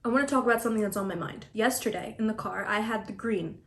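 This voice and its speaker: A woman's voice: medium pitch, fast talker. Wry young woman in an echoey room. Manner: wry, deadpan complaining with stammered restarts. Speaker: vlogger. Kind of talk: casual vlog monologue. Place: apartment room. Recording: echoey room, mic at a distance.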